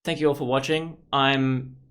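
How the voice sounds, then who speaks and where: A man's voice: mid-range voice, steady tone, moderate pace, fairly bright tone, clear, resonant tone. A man hosting a game walkthrough, giving casual, informal, rambling commentary as he plays. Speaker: gaming video host.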